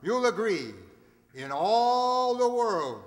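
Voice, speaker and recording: A man playing an earnest, emphatic cigarette pitchman in an old 1940s radio show, declaiming his sales lines. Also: big pitch swings, takes it slowly, full character voice. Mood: earnest selling. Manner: declamatory sales patter with built-up repetition. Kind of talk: 1940s radio advertisement acting. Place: stage in a hall. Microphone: microphone on a stand. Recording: echoing hall sound.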